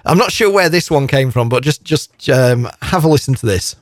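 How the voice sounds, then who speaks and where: A man's voice: mid-range voice, lively intonation, normal speed, no room sound, studio quality. A man hosting a radio show in casual on-air chat, rambling with restarts and asides. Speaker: radio DJ host.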